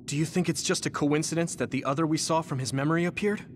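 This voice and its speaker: A man voicing a cautious, focused young soldier in an anime game, serious and measured. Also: fairly high pitch, slight background noise, natural voice. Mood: wary. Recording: music and rain behind the voice.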